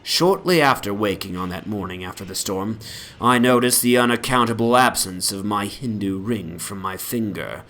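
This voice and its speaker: A man giving a solemn, brooding dramatic reading of a first-person horror story, in literary phrasing that often sinks into a hush. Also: lowish voice, expressive, moderate pace. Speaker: narrator, dramatic reader. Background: ambient soundscape.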